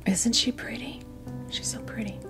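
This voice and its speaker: A woman hosting an ASMR doll unboxing, chatting casually and softly to camera, gushing over small details in an unhurried way. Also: a little high, steady tone, music in the background.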